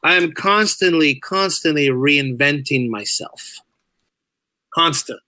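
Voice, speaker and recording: A man with a bright, forceful and fairly high voice talks as a guest on a podcast. His style is casual and conversational, and he repeats words to drive a point home. The recording is dry and close. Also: expressive, slow, no room sound. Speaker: podcast guest, writer and audio drama creator. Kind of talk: casual interview chat.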